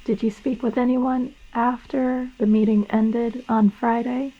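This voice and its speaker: Woman playing police detective, formal measured questions, slightly lo-fi interrogation recording. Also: a little high, little pitch movement. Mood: formal, probing.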